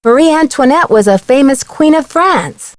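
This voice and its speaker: A woman's voice: sits high, lively intonation, moderate pace, dry recording. A woman voicing an inquisitive young female detective in a video game, speaking earnestly and neatly as she explains things. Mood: explaining, amused. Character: bright, inquisitive teenage girl amateur detective.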